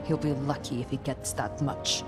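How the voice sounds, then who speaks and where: An actress playing a tough, guarded young warrior in a video game, blunt and impatient, with a dry, no-nonsense delivery. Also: female voice, extremely low pitch, fairly even intonation, normal speed. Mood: terse, determined. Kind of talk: cinematic video game acting. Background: background music.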